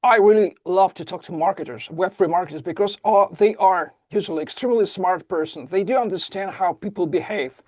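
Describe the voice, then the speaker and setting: A man who speaks Russian natively hosts a podcast interview, enthusiastic and a little halting in his phrasing. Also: high-pitched, darkish tone, no room sound. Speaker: podcast host. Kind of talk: casual interview hosting.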